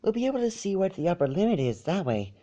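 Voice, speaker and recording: A man voicing a timid, gentle female pony in a storybook reading, with a small soft voice and a meek, hesitant, polite manner. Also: high voice, big pitch swings, fast, voice pushed up.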